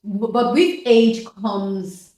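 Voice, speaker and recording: A woman's voice: mid-range voice, very slow, dark tone. A woman in her fifties, hosting a podcast, talking about faith in a reflective way with frequent laughs and restarts. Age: 50s. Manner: reflective, laughs often, restarts mid-thought. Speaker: podcast host. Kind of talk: casual faith conversation. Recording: echoey room, distant from mic, faint noise.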